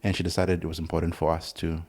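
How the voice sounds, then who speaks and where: A man in his thirties, originally from Zimbabwe, speaks as a guest with a slightly low voice. He talks in halting restarts and laughs easily, recorded indoors in a conductor's room. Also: completely flat delivery, darkish tone. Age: 30s. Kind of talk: casual interview conversation.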